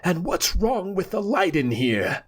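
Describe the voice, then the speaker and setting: A man doing a high, chattering, terrified abbot. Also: big pitch swings, unhurried, heavily acted. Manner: teeth-chattering, frightened. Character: terrified male abbot, cold and trembling.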